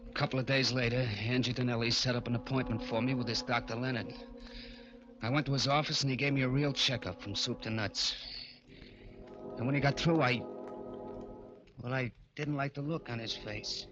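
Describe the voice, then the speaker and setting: An actor playing a nervous, timid young American small-time crook in an old-time radio crime drama, hesitant and slangy. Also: male voice, medium pitch, fairly even intonation, normal speed, long pauses, highs cut off, close to an everyday voice. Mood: grim narrating. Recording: vintage radio recording with music behind.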